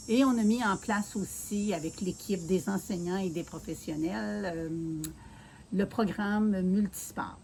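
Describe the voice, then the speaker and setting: A Québécoise woman in her sixties speaks in a measured, earnest way, pausing often to find her words. Her voice sits at an ordinary middle pitch, with faint crickets and insects chirping behind her. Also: darkish tone, slight background noise, low-quality recording. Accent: Quebec French. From Quebec City, Canada. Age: 60s. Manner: measured and earnest, with many filler pauses. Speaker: mayoral candidate being interviewed. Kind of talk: casual interview, telling her life story.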